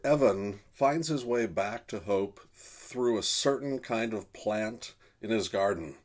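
A man's voice: mid-range voice, a little mellow. A man in his fifties, an author and illustrator, presenting trivia from a home office.

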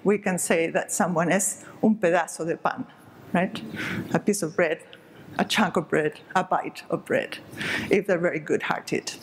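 A woman's voice: on the low side, steady tone, a little slow, a little hiss or hum. A middle-aged woman from southern Spain, giving an explanatory, emphatic stage talk to an audience. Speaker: speaker. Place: dark stage with curtain.

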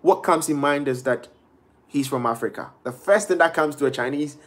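A man's voice: fairly high pitch, normal speed. A young man from Ghana talking casually and animatedly into a webcam in a small bedroom, live-streaming to viewers. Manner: animated, gestures a lot, quotes people in shifting voices. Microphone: laptop or phone webcam at arm's length.

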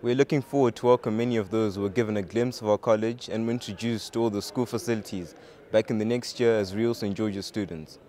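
Youthful male school reporter, moderately low pitch, scripted, handheld mic outdoors. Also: fairly even intonation, fast, mellow. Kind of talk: scripted piece to camera. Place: outdoors in front of a building. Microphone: handheld mic with furry windscreen.

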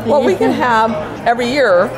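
A woman's voice: fairly high pitch, very animated, brisk, poor audio. An older woman doing a casual interview, chatty and encouraging as she asks questions. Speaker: interviewer, county commissioner. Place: indoor lounge by a fireplace. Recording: loud crowd chatter behind.

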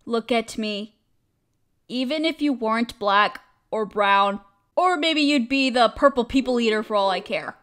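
A woman's voice: a little high, a little slow. A woman acting as a caring, protective best friend in an audio roleplay, speaking casually and emphatically. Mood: firm, encouraging. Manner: expressive, emphatic, casual with mild swearing.

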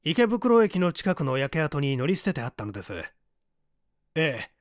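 A man's voice: fairly high pitch, big pitch swings, normal speed, no echo, studio quality. A man playing a courteous, matter-of-fact male police detective chief in an audiobook mystery. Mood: businesslike.

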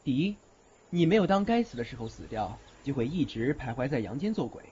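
A man's voice: mid-range voice, very animated, a little fast, warm tone, breathy edge. A man acting an aloof, grumbling young university student in an audio drama, with a blunt, exasperated manner. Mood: cool, blunt. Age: young adult. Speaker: lead actor in a Chinese audio drama. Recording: slightly dull recording with music behind.

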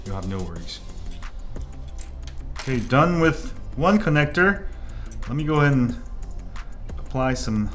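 A man's voice: a little slow, long pauses, over music. A man who grew up in Korea, a native Korean speaker, casually talking through a soldering tutorial at a workbench, chatty and rambling. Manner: chatty, jumps into excited high exclamations and mutters asides. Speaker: 3D printing tutorial host. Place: indoor workbench. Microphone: off-camera, hands-only shot at workbench.

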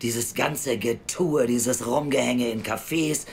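Blunt, deep-voiced female welfare official. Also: slow, gravelly, darkish tone, a little hiss or hum, exaggerated voice. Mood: stern, dismissive. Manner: lecturing, sardonic, blunt. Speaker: actor in audio drama. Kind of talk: naturalistic audio drama acting.

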